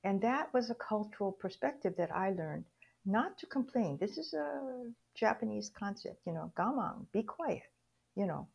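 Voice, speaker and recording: An older woman from Hawaii speaks as an interview guest with a husky, slightly rough voice. She tells her story reflectively and haltingly, searching for words as she goes. The recording is a little dull and lo-fi. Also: medium pitch, animated, a little mellow. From Hawaii, United States. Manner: reflective, halting, acts out remembered dialogue.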